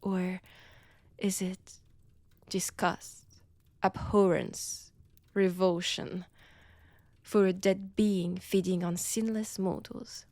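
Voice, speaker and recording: Woman acting as ancient vampire villainess, French accent, unhurried delivery, seductive, mocking, menacing, breathy whispers, dry intimate audio drama. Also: fairly low pitch, flat delivery, dark tone, no echo. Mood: teasing, mocking. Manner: slow, taunting, theatrical, dropping often into breathy whispers.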